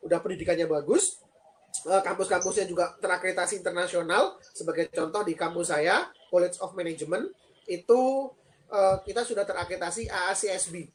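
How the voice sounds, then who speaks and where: A man in his thirties from Indonesia, a guest on a live-streamed interview, explaining things earnestly with frequent pauses. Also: high-pitched, slight background noise, low-quality recording. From Lampung, Indonesia. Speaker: guest, PhD student and lecturer.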